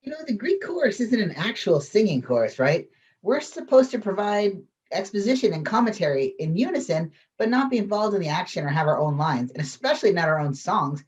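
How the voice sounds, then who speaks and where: A woman as a lo-fi, animated chorus pedant. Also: medium pitch, fairly quick, lightly acted. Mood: pedantic, awkward. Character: pedantic, hesitant female Greek chorus member. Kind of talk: amateur musical table read. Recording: dull lo-fi sound.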